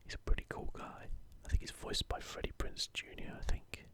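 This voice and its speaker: A man speaking in a soft, breathy whisper, gently musing in a casual ASMR show-and-tell close to the microphone. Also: brisk. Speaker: host of an ASMR gaming channel.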